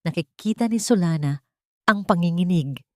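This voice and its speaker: A woman's voice: lowish voice, expressive, normal speed, no room sound, studio quality. A woman narrating a scripted story in a dramatic storytelling style.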